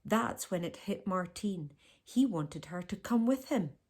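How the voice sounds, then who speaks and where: A woman reading a children's storybook aloud as a teacher, with expressive storytelling delivery. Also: medium pitch, measured pace.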